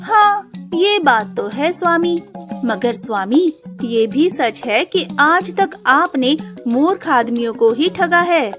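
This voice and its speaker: A woman voices a swindler's wife in a cartoon folk tale. She is teasing and challenging, with a raised pitch. Faint music plays behind her. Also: animated, slow. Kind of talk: animated Hindi folk tale acting.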